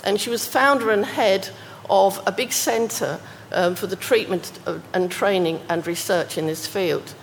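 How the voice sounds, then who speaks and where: A woman giving an academic talk on research case studies, speaking in a measured way and reading quoted passages aloud. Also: mid-range voice, long reverb tail, slight background noise. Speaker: academic presenter.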